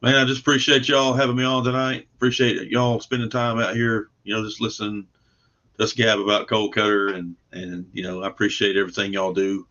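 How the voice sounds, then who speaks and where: A man speaking as a guest in a casual interview, in a halting, plain-spoken way. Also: fairly low pitch, level tone, sharp tone, lo-fi sound. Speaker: guest comic book creator.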